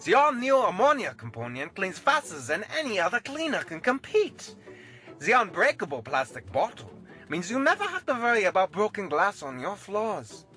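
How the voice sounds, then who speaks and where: A man's voice: high voice, bright, forceful tone, full character voice. A man playing a pompous, self-assured doctor in a scripted radio ad, grandly formal and salesy.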